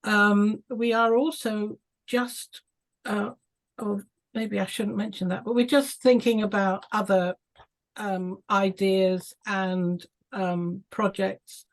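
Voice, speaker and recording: A woman's voice: medium pitch, flat delivery, slow pace, low-quality recording. A woman talking casually on a video call, chatty and full of ums and small laughs. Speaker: club member on a video call.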